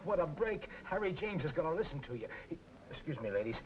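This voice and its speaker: Excitable hustler, high swooping voice, film noir dialogue, muffled noisy old soundtrack. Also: male voice, quick pace. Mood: eager. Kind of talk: mid-century film noir dialogue acting.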